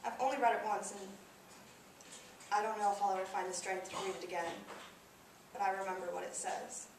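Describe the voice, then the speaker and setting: A woman's voice: medium pitch, steady tone, gravelly, lightly acted. Woman acting grieving soldier's mother in echoey hall. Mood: sorrowful. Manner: solemn, deliberate, halting phrases. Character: grieving mother of a fallen soldier, plainspoken, steadfast. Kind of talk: dramatic stage monologue. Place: indoor performance space, recorded from afar. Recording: very echoey hall, distant mic, faint noise.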